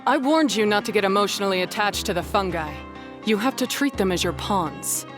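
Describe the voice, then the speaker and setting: An actress playing a proud, stern young beast tamer rival in a video game, cold, commanding and dismissive. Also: female voice, mid-range voice, expressive, fairly quick, lightly acted. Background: game music.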